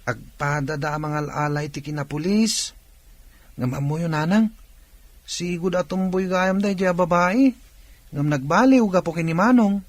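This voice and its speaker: A respectful, curious younger brother , played by an actor, in a radio drama. His young man's voice sits on the high side and is recorded close and dry. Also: moderate pace, no room sound, close to an everyday voice. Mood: reassuring.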